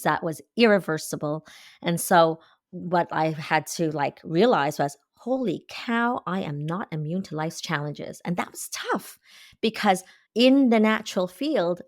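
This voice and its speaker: A woman's voice: lowish voice, dry recording, studio quality. A woman in her fifties, an acupuncturist giving earnest, explanatory answers in an interview. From Canada. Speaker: guest, acupuncturist.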